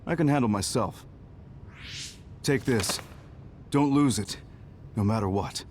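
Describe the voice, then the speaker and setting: A man's voice: on the low side, measured pace, darkish tone, slight background noise, natural voice. An actor playing a protective adult male major general in a video game, with a firm, authoritative manner. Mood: firm, commanding. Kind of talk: scripted video game cutscene acting.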